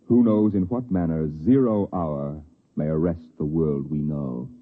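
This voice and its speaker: An actor playing the solemn, portentous narrator of a 1950s radio science fiction drama, with dramatic, deliberate phrasing. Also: male voice, a bass voice. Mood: ominous. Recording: tinny vintage 1950s radio recording. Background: music.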